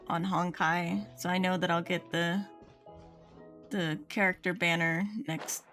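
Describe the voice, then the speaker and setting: A woman's voice: lowish voice, flat delivery, unhurried, a bit bright. A woman livestreaming a game, chatting casually with viewers as she plays. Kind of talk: casual chat while playing, reading viewers' comments. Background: game music.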